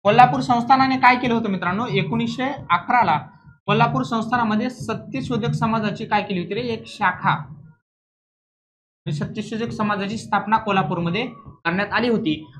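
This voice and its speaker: A man's voice: sits high, brisk, a bit bright. A man teaching an exam revision class in a didactic way, asking questions, answering them himself and repeating key words.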